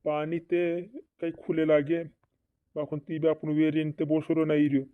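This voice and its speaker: A man's voice: a little high, flat delivery, moderate pace, no echo, natural voice. A man voicing a fretting cartoon villager with repetitive, doubled words, in a dull lo-fi recording. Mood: urging. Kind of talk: regional comedy cartoon dialogue. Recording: dull lo-fi dry audio.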